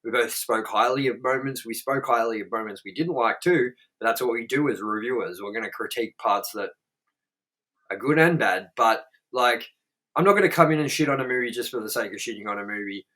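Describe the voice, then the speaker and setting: A man's voice: medium pitch, brisk, sharp tone, rough recording. Blunt, swearing Australian man. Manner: blunt and profane, rambling with many fillers. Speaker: co-host, movie reviewer. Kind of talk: casual review chat.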